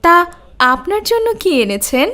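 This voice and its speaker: A woman's voice: extremely high pitch, sing-song, moderate pace, sharp tone, full character voice. A woman voicing a coy, pleased wife in a cartoon. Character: rich man's wife, coy, pleased with finery. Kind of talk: cartoon voice acting.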